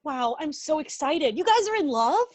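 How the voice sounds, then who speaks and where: A woman's voice: high-pitched, big pitch swings, normal speed, no echo. A woman in a sitcom table read playing a perky, sincere, chatty and eager matchmaker. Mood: excited. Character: Maggie, perky, sincere female rival matchmaker.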